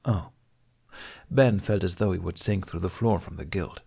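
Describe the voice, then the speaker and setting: Male audiobook-style narrator, lowish pitch, expressive scripted fiction read. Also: moderate pace, creaky, husky. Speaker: narrator reading fan fiction.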